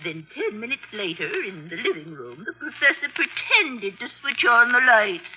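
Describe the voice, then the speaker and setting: A woman's voice: on the high side, expressive, moderate pace, lightly acted. A woman playing a shrewd elderly spinster who patiently and precisely explains a crime in a 1940s radio mystery. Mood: grave, certain. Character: shrewd, composed elderly spinster sleuth, retired physics teacher. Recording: muffled old-time radio recording.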